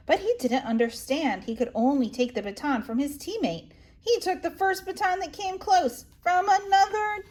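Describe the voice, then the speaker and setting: A woman reading children's bedtime stories aloud as a library story-time host, in an animated, expressive manner. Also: high voice. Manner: animated, asks the young listeners questions.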